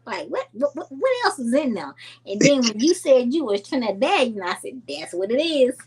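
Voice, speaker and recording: Lively husky-voiced woman podcast host. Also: a little high, normal speed. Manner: animated, affirming with frequent "right". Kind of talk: casual podcast chat.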